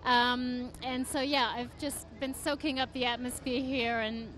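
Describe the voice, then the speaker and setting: A woman from the United States answers interview questions about her work, eager and a little halting. Her voice sits high in pitch, and her talk is full of small fillers and restarts. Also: flat delivery, fairly bright tone, faint music behind, rough recording. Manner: enthusiastic, hesitant with fillers. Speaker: guest author. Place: book fair venue.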